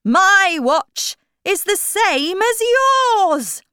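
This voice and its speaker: A woman voicing an eccentric, blunt, irritable man in a children's story, with drawn-out, emphatic words. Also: very high-pitched, very animated, pushed and bright, dry recording, studio quality, pitched above the performer's own voice, exaggerated voice. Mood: eccentric, insistent. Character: eccentric, blunt, irritable male Mad Hatter. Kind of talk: slow children's storybook character voice.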